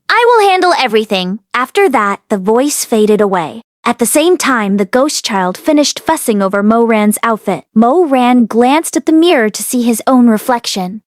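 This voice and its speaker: A woman's voice: fairly high pitch, no echo, studio quality. A woman narrating a novel aloud as the host of a read-along. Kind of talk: expressive story narration.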